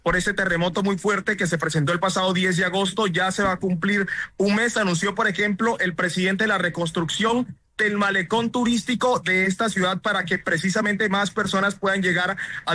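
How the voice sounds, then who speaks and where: Male field reporter from La Guajira, high bright voice, formal live-news read. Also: steady tone, brisk. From La Guajira, Colombia. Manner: clear, formal report delivery.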